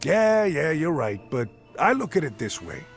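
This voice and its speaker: Earnest musician, lively swooping pitch, hesitant folksy delivery, game dialogue, music behind. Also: male voice, moderate pace, vocal fry. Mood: hopeful, earnest. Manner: hesitant, folksy, mild exclamations. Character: earnest, chipper male jazz musician and party organizer. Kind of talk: voiced dialogue in a narrative RPG.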